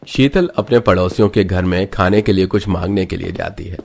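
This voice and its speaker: A man's voice: sits low, a little fast, creaky, a little mellow. A man giving scripted narration for a cartoon moral story, as the narrator.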